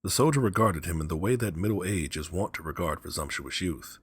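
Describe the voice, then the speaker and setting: Male narrator for classic fiction: deep, husky, animated and deliberate, recorded dry. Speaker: story narrator. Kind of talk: expressive scripted literary narration.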